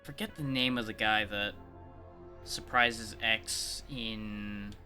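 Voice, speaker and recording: A man's voice: fairly low pitch, takes it slowly, sharp tone. A man livestreaming a game with casual, dry, sardonic commentary. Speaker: game streamer. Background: game music.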